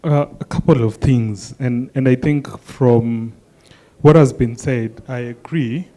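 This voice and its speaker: A man's voice: medium pitch, slow pace, mellow. A man speaking on a panel, searching for words and restarting his sentences. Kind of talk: panel discussion.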